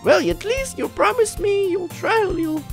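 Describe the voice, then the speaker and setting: A man acts out a carefree anime schoolgirl from a visual novel in a very high, squeaky falsetto. She is bubbly, caring and exaggeratedly girlish, speaking over background music. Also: animated, moderate pace, vocal fry, full character voice. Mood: worried, eager.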